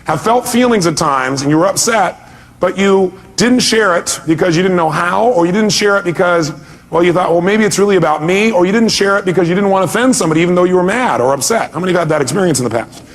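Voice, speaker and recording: A man's voice: sits high, quick pace. A man speaking as a motivational seminar speaker, emphatic, putting rhetorical questions to his audience and often answering them himself.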